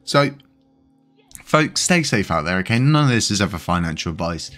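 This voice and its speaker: A man's voice: low-pitched, big pitch swings, moderate pace, a bit bright, soft background music. A British man hosting a crypto channel, talking in a rambling, casual way with lots of fillers. He is congested and slightly wheezy. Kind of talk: casual news commentary.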